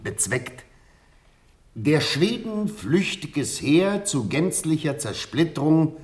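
A formal old-school military marshal in a classical radio play. He has a medium-pitched voice and dictates very slowly and emphatically, with wide swings of pitch. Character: formal, methodical field marshal dictating orders.

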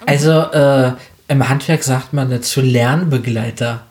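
A man's voice: mid-range voice, measured pace, a little mellow, a little hiss or hum. A man speaking as an interviewer and media journalist in a conversational radio style, opinionated and colloquial.

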